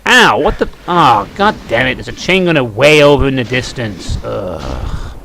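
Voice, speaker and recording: A man's voice: mid-range voice, big pitch swings, measured pace, vocal fry, bright, forceful tone. A British man giving casual, off-the-cuff commentary as he plays a game, wry and grumbling. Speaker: let's play commentator. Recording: noisy home recording, game sound behind. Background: shooter game gunfire and clicks.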